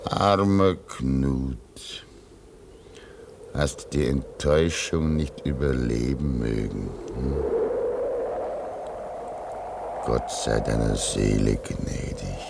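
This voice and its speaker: Deep, slow-spoken old polar station keeper, pious and solemn. The old radio play recording carries a noticeable hiss. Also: male voice, vocal fry, heavily acted. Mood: grieving. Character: old Russian man at remote Arctic station, devout. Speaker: radio drama actor. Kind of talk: 1950s German radio drama acting.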